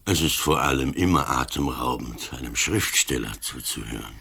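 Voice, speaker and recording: An actor playing an old police commissioner in a radio play, cool, dry and sardonic in a deliberate way. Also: male voice, rumbling low, expressive, bright, forceful tone, husky, full character voice. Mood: cool, sceptical. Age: older.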